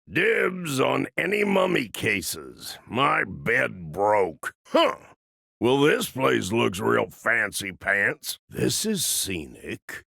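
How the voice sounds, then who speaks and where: Grizzled old cyborg soldier, very gravelly, husky, folksy slang, cocky and wry, swinging pitch, video game character. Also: male voice, fairly bright tone, dry recording, studio quality, heavily acted. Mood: musing, wry. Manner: folksy slangy drawling wisecracks, calls others kiddo.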